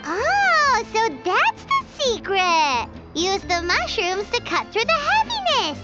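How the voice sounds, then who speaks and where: A woman voicing a tiny, childlike fairy companion in a video game, squeaky, excitable and cheeky. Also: slow, dull audio, loud music behind the voice, heavily acted. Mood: excited. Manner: third-person self-reference, excitable, cheeky. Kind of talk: English video game voice acting.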